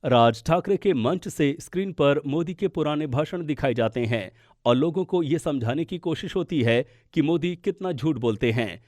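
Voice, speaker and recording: A man's voice: fairly high pitch, a little mellow, no room sound, studio quality. A man narrating a scripted political explainer as a news podcast presenter.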